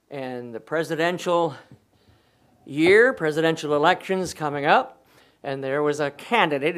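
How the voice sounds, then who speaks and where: An older man with a booming, commanding voice preaches to a congregation. He tells stories warmly and keeps joking with the people, laughing often as he goes. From Wisconsin, USA. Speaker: pastor. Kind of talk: humorous sermon. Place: church hall with congregation.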